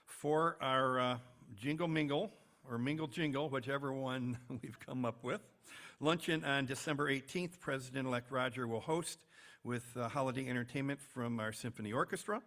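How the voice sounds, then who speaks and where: A man's voice: medium pitch, moderate pace, a bit bright. A man with an American accent reading announcements as host of a club meeting, with informal asides.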